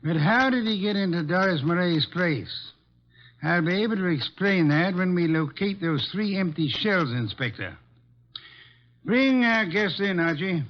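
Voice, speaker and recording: A man's voice: high-pitched, animated, measured pace. A man playing a genius detective in an old-time radio drama, formal and precise as he questions suspects. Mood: brisk, assured. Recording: dull lo-fi old radio recording.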